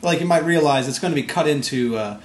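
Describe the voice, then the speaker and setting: A man conducting a casual interview, talking in a rambling, halting way. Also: on the high side. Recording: roomy echo, background noise.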